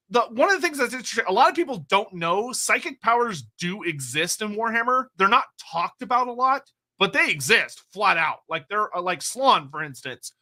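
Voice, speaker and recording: A young man co-hosting a live stream, his voice pitched high and bright. He rambles with eager enthusiasm, swearing casually as he reads viewer questions and riffs on fantasy lore. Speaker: co-host reading viewer questions.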